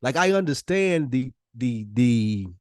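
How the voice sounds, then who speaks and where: A man's voice: mid-range voice, expressive, takes it slowly, no echo, studio quality. An American man co-hosting a podcast in casual debate, emphatic and insistent, repeating his points with slang and swearing. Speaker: podcast co-host. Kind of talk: casual debate between friends.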